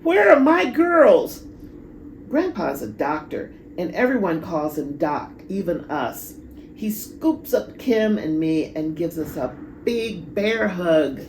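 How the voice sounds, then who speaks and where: A woman reads a picture book aloud to children. Her voice is creaky and slow-paced, with drawn-out, expressive emphasis. Clear background noise runs under the amateur recording. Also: low-pitched, reverberant, low-quality recording. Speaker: children's storybook reader. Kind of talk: expressive read-aloud storytelling with asides.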